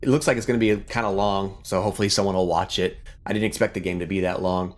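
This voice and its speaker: A man's voice: deep voice, fairly even intonation, quick pace. A middle-aged man giving casual, reflective gaming commentary in an earnest, rambling way. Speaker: gaming YouTuber.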